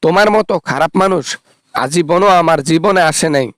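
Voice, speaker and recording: A man's voice: on the high side, moderate pace, no room sound, clean studio sound, natural voice. A man playing a greedy, sarcastic adult son, scornful and demanding, in a satirical phone-call skit.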